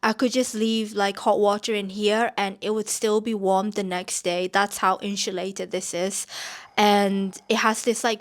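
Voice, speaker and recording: A woman from Myanmar hosting a casual podcast, sounding nasal as she gets over a cold, rambling with many "like" fillers. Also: mid-range voice. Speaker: podcast host. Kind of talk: casual chatty podcast talk.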